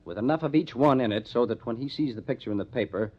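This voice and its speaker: An actor playing a hard-nosed, determined police detective in an old-time radio crime drama, speaking in a measured, emphatic way. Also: male voice, mid-range voice, slightly rough, lightly acted. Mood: grimly determined. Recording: dull lo-fi old radio recording.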